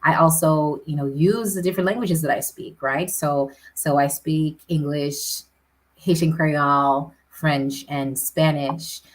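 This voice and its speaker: A woman's voice: low voice, fairly even intonation, a little slow, rough recording. A woman city councilor answering interview questions earnestly, often tagging points with "right?".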